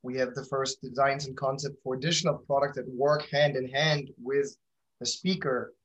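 A man's voice: medium pitch, level tone, normal speed, a bit bright, low-quality recording. A man in his thirties talking casually as an interview guest, candid and rambling, often correcting himself mid-sentence. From outside the United States. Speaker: guest, company founder and father.

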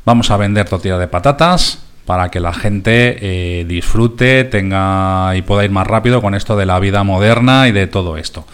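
A male radio host with a fairly low voice and a Spain Spanish accent. He talks informally, explaining things step by step in a prudent, conversational manner. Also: moderate pace, hardly any pauses, clear, resonant tone. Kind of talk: conversational radio hosting and discussion.

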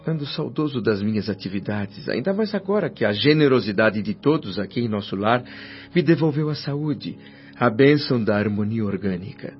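An actor playing a humble, earnest deceased doctor in a radio drama, speaking formally and deferentially. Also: male voice, medium pitch, lively intonation, moderate pace, close to an everyday voice. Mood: respectful pleading. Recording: dull lo-fi radio drama over music.

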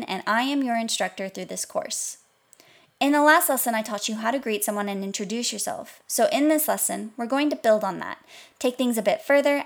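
A woman presenting a scripted podcast language lesson, repeating foreign phrases slowly and clearly. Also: mid-range voice. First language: English.

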